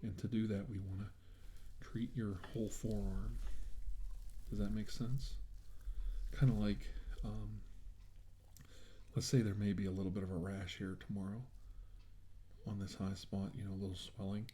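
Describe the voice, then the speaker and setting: A soft-spoken man doing an ASMR role-play as a clinician, reassuring the listener in a soothing, unhurried, conversational way. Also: on the low side, little pitch movement, slight background noise. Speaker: ASMR role-play performer acting as a clinician treating a patient.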